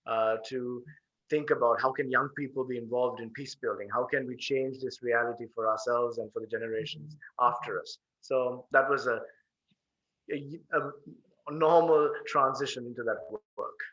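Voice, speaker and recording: A Sri man in his thirties answers interview questions over a video call from a room with bookshelves. His voice is bright and forward, and he talks earnestly and fluently, dropping in frequent fillers. The webcam audio sounds dull and lo-fi. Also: mid-range voice, fairly even intonation, normal speed. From Sri Lanka. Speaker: guest, peace organization founder.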